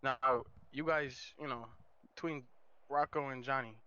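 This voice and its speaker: A man roleplaying a seasoned mobster in an online crime game, talking plainly and haltingly over dull game voice chat. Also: medium pitch, steady tone, bright, forceful tone, clean tone. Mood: matter-of-fact. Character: seasoned male mobster weighing crew business.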